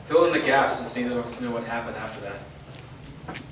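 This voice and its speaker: A man's voice: mid-range voice, moderate pace, a bit bright, close to an everyday voice. A man playing a troubled, questioning grown son in a staged reading of a drama. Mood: insistent, troubled. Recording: echoey room, distant mic, background noise.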